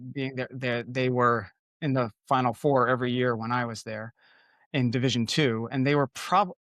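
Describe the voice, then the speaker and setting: A man's voice: medium pitch, fairly even intonation, normal speed, fairly bright tone, no echo, studio quality. A man from Connecticut hosting a podcast in casual chat, rambling with many fillers and restarts. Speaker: podcast host.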